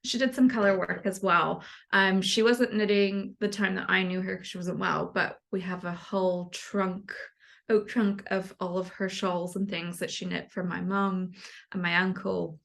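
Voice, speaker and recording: A woman's voice: fairly low pitch, normal speed, gravelly. A young adult woman from the United States, a knitwear designer, talking casually in an interview with a rambling manner. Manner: relaxed, rambling, frequent fillers and light laughs.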